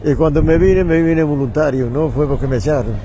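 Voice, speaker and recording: A man's voice: fairly high pitch, fairly quick, mellow, a little hiss or hum. An older man, a returned emigrant, recounting his working years in a plain, matter-of-fact way outdoors. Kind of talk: casual street chat.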